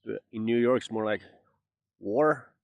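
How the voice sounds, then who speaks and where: A Finnish man talks to camera in English with a Southern drawl, rambling and joking between fillers. His voice sits fairly low, and the recording is dry and close. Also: a little slow, creaky, no room sound. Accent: Southern American-style English. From Finland. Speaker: vlogger. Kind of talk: casual monologue to camera.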